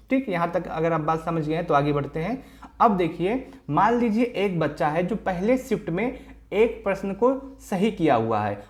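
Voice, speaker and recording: A man's voice: high-pitched, a little mellow. Guy doing a coaching explainer, goes step by step, repeats himself a lot. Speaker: exam coaching presenter. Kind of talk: informal explanatory lesson to viewers.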